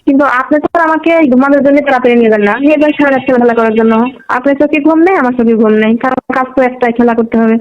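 A woman's voice: sits high, very fast. A woman with a Bangladeshi accent chats casually and intimately on a phone call.

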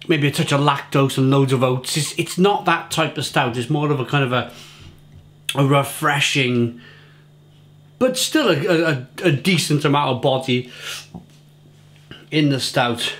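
A man's voice: a little high, unhurried, long pauses, a bit bright. A man in his forties from England, reviewing a beer to camera in his home kitchen, chatty and often repeating words as he searches for them.

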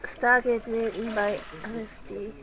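A woman's voice: a little high, little pitch movement, takes it slowly, a crowd in the background, low-quality recording. Woman with a cold, chatting. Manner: chatty, talks to her game characters. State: sick with a cold. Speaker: let's play host. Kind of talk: casual gameplay commentary.

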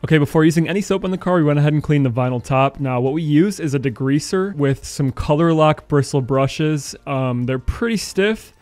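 A man's voice: fairly high pitch, brisk, hardly any pauses, a little mellow, soft background music. A man giving a casual voice-over as host of a car detailing video.

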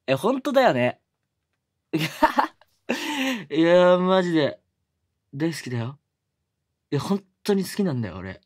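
A man's voice: a little high, no room sound, exaggerated voice. A man playing a pushy, affectionate younger male coworker in love, murmuring teasingly in an intimate ASMR boyfriend roleplay, often close to a whisper. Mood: overjoyed, giddy. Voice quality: breathy, often dropping to a whisper. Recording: close binaural recording with background music.

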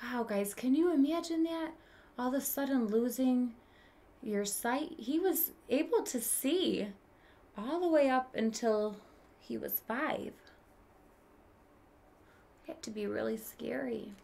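Woman, thirties, storybook read-aloud for kids; higher-pitched, unhurried and dramatic, addressing young listeners directly. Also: vocal fry, mellow. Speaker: teacher reading aloud to children. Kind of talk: expressive children's storybook read-aloud with asides. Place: small room with bookshelf, indoors.

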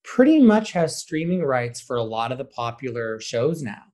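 A man's voice: medium pitch, very animated, moderate pace, a little mellow. A man speaking as a podcast guest and theater director, giving casual, conversational answers.

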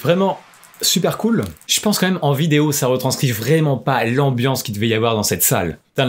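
A young man chatting casually and enthusiastically to his viewers as he reacts to a concert video, recorded on a studio microphone in a small home room. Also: fairly high pitch. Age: young adult. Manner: enthusiastic, slangy, talks straight to viewers. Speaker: music reaction youtuber. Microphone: studio condenser mic on a boom, headphones on. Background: faint concert music playing.

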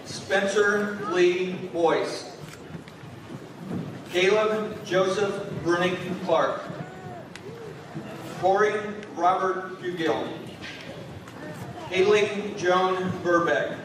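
A man's voice: high voice, steady tone, background noise. Man reading names in an echoing gym. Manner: measured, deliberate. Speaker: school official reading graduate names. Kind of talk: formal announcement and name reading. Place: large school gymnasium. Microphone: podium microphone, heard from the back of the room. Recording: echoey gym, heard from far off.

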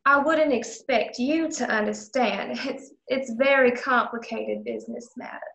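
A woman's voice: fairly high pitch, unhurried, vocal fry, fairly bright tone. A woman playing a haughty, condescending widow who runs a frontier business, in a radio drama. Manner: condescending, imperious. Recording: roomy, echoing home recording.

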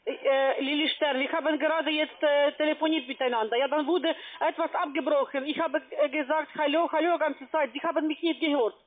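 A woman speaking as a call centre agent, insistent and halting, on a phone call. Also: high-pitched, fairly even intonation. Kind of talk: phone sales pitch. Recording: tinny narrow telephone line.

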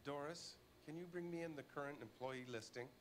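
Guy playing defensive middle-aged boss in a detective game, highish voice, some noise behind. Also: brisk, close to an everyday voice. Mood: controlled, polite. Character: indignant middle-aged soup company owner, curt and defensive. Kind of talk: video game voice acting.

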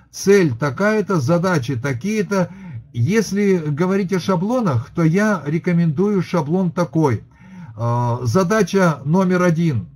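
A middle-aged man speaks into a webcam from a desk in a room, running a webinar. His voice sits a little high for a man, and his speech is halting, full of fillers and restarts. He reads chat questions aloud and answers them off the cuff. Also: darkish tone. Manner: hesitant, with many fillers and restarts. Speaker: webinar host and lecturer. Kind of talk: webinar lecture and Q&A. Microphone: webcam on a video call.

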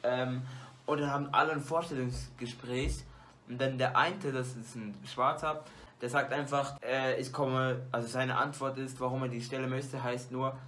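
A teenage boy talks straight to his desk webcam in a small bedroom glowing with colored lights. His voice is bright and mid-pitched, and he rambles chattily, stumbling through fillers and restarts. A faint hiss of room noise sits underneath. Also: fairly even intonation, normal speed. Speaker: YouTuber. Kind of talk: casual movie-ranking vlog.

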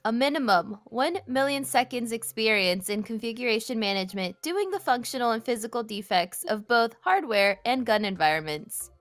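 Higher-pitched woman in a casual game chat. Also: animated, moderate pace, few pauses, a bit bright, no echo. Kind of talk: casual chat and reading silly text aloud in an online group game. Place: online voice call.

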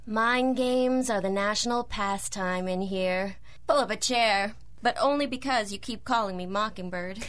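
A woman's voice: mid-range voice, measured pace, few pauses, a bit bright, lightly acted. An actress playing a cynical, mocking female supervillain inmate in a 1930s-style radio drama. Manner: sneering, sardonic, tough.

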